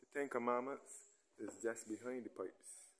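A man giving a relaxed guided walking tour, recorded on a handheld camera as he moves from a churchyard into a large church. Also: medium pitch, unhurried. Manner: relaxed, pointing things out as he walks. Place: outdoors in a churchyard, then inside a large church.

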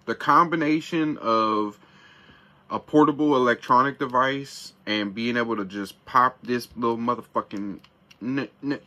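A man's voice: mid-range voice, a little slow, bright, forceful tone. A man in his thirties giving a casual, profane, hyped product review in slang, seated in a small camper van and talking into a camera close by. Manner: hyped, slangy, swears a lot.

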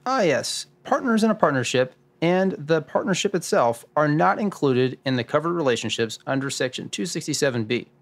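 Higher-pitched, orderly male sleuth played by a man. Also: expressive, normal speed, few pauses. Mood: assured, instructive. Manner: didactic, orderly. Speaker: lead expert guiding the discussion. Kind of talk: scripted radio drama dialogue.

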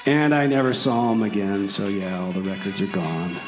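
A man's voice: fairly low pitch, lively intonation, normal speed, close to an everyday voice. Man playing a breezy time-traveler in echoing hall. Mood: sheepish. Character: breezy, overconfident male time-traveler, chatty. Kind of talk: comedy radio theater, recorded message. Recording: echoey hall reverb, loud music behind.

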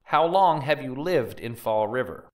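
A man's voice: medium pitch, lively intonation, distant mic, close to an everyday voice. A man playing a formal, probing 1890s prosecuting lawyer questioning witnesses in a dramatic trial reading. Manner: formal, inquisitive, measured.

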